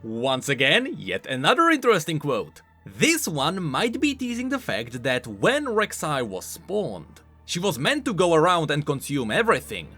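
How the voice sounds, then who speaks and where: A man with a fairly high voice delivers game lore commentary over faint music. His delivery is informal and emphatic, a scripted explainer loosened by casual asides. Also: wildly swooping pitch, moderate pace, hardly any pauses, bright, forceful tone, breathy edge. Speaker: video essay host, game lore commentator. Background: background music.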